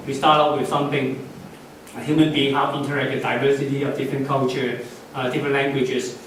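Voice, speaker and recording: A middle-aged man whose first language is Cantonese, giving halting, unscripted closing remarks at a conference, with many fillers and restarts. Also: fairly high pitch, a bit bright. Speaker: conference speaker. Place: conference hall. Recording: echoey hall, far from the mic, faint noise.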